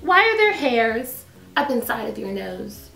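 A woman's voice: a little high, sing-song, creaky, sharp tone, soft background music, exaggerated voice. A woman voicing an eager, insistently curious three-year-old girl in a children's storybook. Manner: eager, rapid-fire questioning. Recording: echoey room.

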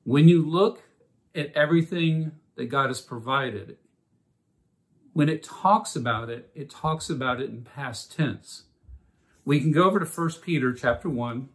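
A man teaching a Bible study in an earnest, measured way, opening with a prayer and reading scripture passages aloud. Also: on the high side.

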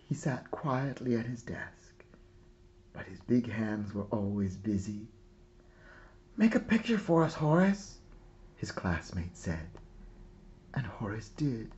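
A man reading a children's picture book aloud expressively, with dramatic pauses and hushed phrase endings. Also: on the low side, dull audio. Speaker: storybook reader. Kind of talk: scripted narration, picture book read-aloud.

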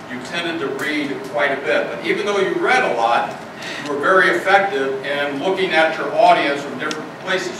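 An older man giving a plain-spoken, conversational evaluation of a contestant's speech to an audience. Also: on the high side. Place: meeting hall with an audience. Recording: echoey hall, far from mic, noisy.

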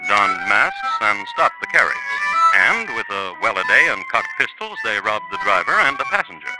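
A man's voice: on the low side, big pitch swings, normal speed, poor audio. A man narrating a 1950s radio crime drama in a dry, ironic storytelling manner. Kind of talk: wry scripted radio narration. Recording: vintage radio broadcast with music.

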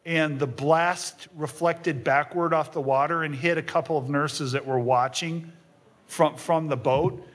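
A man's voice: fairly high pitch, fairly even intonation, a little slow. A man speaking on a panel in an auditorium, giving a talk and answering audience questions with frequent ums and restarts. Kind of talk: lecture and audience Q&A. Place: auditorium stage panel table. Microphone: table microphone.

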